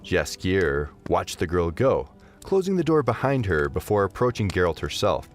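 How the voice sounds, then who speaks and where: A man narrating a scripted fantasy story as an expressive audio drama narrator. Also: low-pitched, moderate pace, faint music behind.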